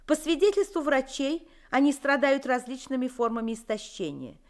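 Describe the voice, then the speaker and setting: An actress playing a formal 1930s radio news announcer. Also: female voice, high-pitched, lively intonation, moderate pace.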